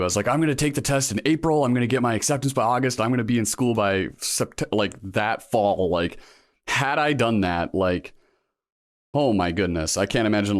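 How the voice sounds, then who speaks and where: Deep-voiced man in his thirties, rambling. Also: no room sound, clean studio sound. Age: 30s. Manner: rambling, filler-heavy, earnest. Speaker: guest, law school applicant. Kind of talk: casual interview conversation.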